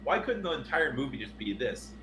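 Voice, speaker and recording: A man co-hosting a podcast, chatting casually about films and games in a rambling, animated, opinionated way with some swearing. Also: medium pitch, a little fast. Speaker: podcast co-host. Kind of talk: casual film and game discussion. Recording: roomy echo with background noise.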